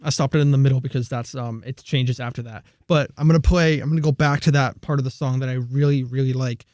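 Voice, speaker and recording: A man's voice: mid-range voice, fast, dry recording. A young man hosting a college radio show, chatting casually about film scores with lots of fillers. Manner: relaxed, rambling, many fillers.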